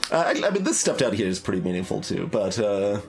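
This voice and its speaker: A man's voice: on the low side, very animated, quick pace, creaky. A man giving casual playthrough commentary, thinking aloud in a hesitant, rambling, analytical way. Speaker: game streamer. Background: background game music.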